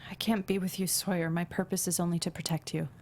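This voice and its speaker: A woman acting a gentle, solemn alien protector in human form at a live screenplay table read. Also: fairly low pitch, level tone, fast talker. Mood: sorrowful.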